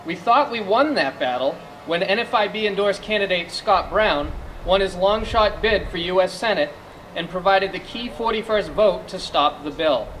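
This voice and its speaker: A man's voice: high voice, expressive, moderate pace, fairly bright tone, a little hiss or hum, rough recording. A man with a Massachusetts accent delivers a prepared political speech at a rally, speaking formally and emphatically as an advocacy spokesman. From Massachusetts, United States. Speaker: small business advocacy spokesman.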